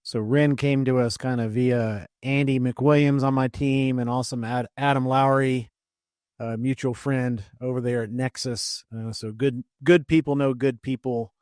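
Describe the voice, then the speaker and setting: A man's voice: mid-range voice, fairly even intonation, measured pace, a little mellow, clear, resonant tone, no room sound, studio quality. A man hosting a podcast, opening with upbeat welcoming patter before moving into the interview. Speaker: podcast host.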